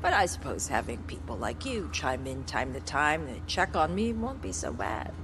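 A woman's voice: rumbling low, big pitch swings, normal speed, background noise. An actress playing a blunt, spiritual, resolute woman survivor in a video game cutscene, with a matter-of-fact delivery. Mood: frank, wry.

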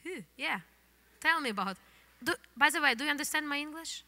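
A woman pastor in her thirties from the Soviet Union, with a Ukrainian accent, preaching an animated sermon into a handheld microphone on a church stage. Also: sits high, fairly quick. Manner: animated, gesturing, non-native English phrasing. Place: church stage, indoors, large hall. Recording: long hall reverb from the church.

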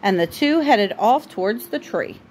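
A woman reading a picture book aloud to a child at home, breaking off for informal, gently correcting asides. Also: mid-range voice, animated, normal speed, slight background noise, poor audio. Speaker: parent reading a bedtime story to a child.

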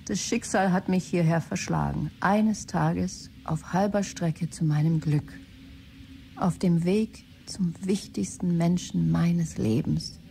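A woman's voice: lowish voice, vocal fry, mellow, a little hiss or hum. An actress playing a driven, earnest female executive in a German radio play. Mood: wistful. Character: businesslike, driven female executive presenting a tech venture.

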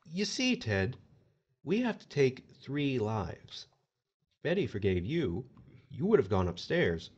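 A man's voice: mid-range voice, darkish tone, dull audio. Man playing a suave, swooping afterlife agent. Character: suave formal male afterlife agent, clever and judging. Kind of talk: audio horror drama acting.

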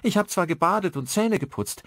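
A man's voice: high-pitched, lively intonation, brisk, fairly bright tone, no echo, clean studio sound, pitched above the performer's own voice. A man voicing a frightened young daughter in an audiobook, pleading urgently and anxiously. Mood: anxious, insistent. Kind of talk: audiobook character dialogue.

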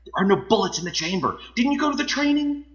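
A man playing an animated, exasperated bank robber boss. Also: high-pitched, quick pace, highs cut off. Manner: theatrical, sarcastic, foul-mouthed. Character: exasperated, bossy, self-important male bank robber gang leader. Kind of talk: comedy audio drama acting. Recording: loud music behind the voice, roomy echo.

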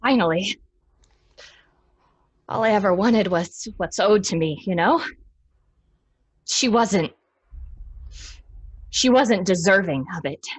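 A resentful, greedy young daughter in a horror audio drama. Her voice is husky and slow, intense and halting, with lively pitch, recorded dry. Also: no echo. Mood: bitter. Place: small room at home, on video call.

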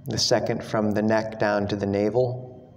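A man teaching a guided meditation, speaking slowly and gently with long pauses. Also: fairly low pitch, little pitch movement. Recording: echoey hall with long reverb, background noise.

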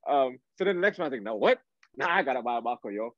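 A man's voice: mid-range voice, sing-song, quick pace, no echo. A man in his thirties from Toronto in a casual, slangy, agreeable chat over a video call. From Toronto, Canada. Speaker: guest, R&B singer. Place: indoor room, video call. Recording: dull video-call audio.

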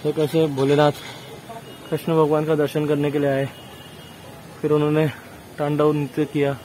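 A man's voice: a little high, completely flat delivery, moderate pace, warm tone. A man vlogging a walking tour outdoors at a marble temple, explaining the wall paintings in a plain, casual way. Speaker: travel vlogger. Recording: noisy outdoor phone recording.

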